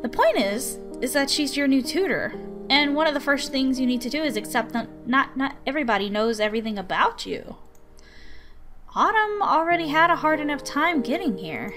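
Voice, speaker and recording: A woman's voice: sits high, lively intonation, moderate pace. A woman voicing an exasperated, dutiful guardian in a visual novel, dry and scolding. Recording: music behind the voice.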